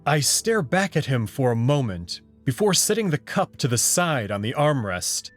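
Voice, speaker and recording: A man reading a visual novel aloud as its narrator, in an expressive storytelling style. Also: mid-range voice, moderate pace, soft background music. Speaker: narrator and voice performer.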